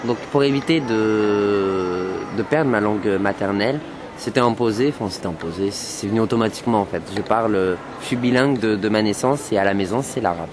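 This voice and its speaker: A man from France speaking calmly and deliberately with a group of young people, repeating his phrases for emphasis. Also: lowish voice. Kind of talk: frank group discussion. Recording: noisy room with crowd chatter. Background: chatter of a group of youths.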